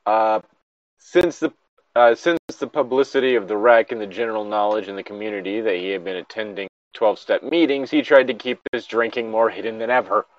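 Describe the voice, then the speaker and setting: Low-voiced, sweary sardonic man. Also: fairly quick, dry recording, lo-fi sound. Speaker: host reading and reacting to a horror story. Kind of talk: casual reaction commentary.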